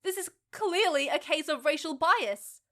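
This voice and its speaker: A woman's voice: sits high, lively intonation, measured pace, a bit bright. A woman playing a spirited young half-fox-spirit, half-human job seeker who argues back, in an audio drama. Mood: indignant. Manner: defiant, indignant, argumentative.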